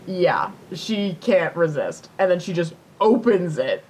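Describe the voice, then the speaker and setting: A woman's voice: on the low side, very animated, slow pace, a little mellow, a little hiss or hum, poor audio. A woman co-hosting a podcast, casually retelling a myth in informal language with swearing and plenty of "like". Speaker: podcast co-host.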